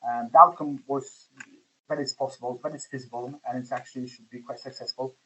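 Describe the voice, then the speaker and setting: A man's voice: mid-range voice, steady tone, fairly quick, fairly bright tone, slight background noise, low-quality recording. A man in his forties with a Polish accent, a startup founder answering interview questions and hesitating often with fillers. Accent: Polish, with some Scottish influence. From Poland. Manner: hesitant, many fillers and restarts. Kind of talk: podcast interview.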